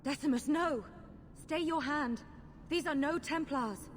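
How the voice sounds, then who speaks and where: A woman's voice: high voice, measured pace, long reverb tail, a little hiss or hum. An actress playing a proud, impassioned rebel mage woman in a fantasy video game, earnest and persuasive. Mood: alarmed. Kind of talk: dramatic video game acting.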